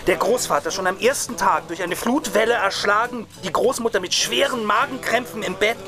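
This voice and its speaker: A man's voice: high voice, fairly quick, music in the background. A man acting in a German radio drama as a cynical, hot-tempered radio reporter in his thirties, pompous and given to coarse cursing. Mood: melodramatic reporting. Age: 30s.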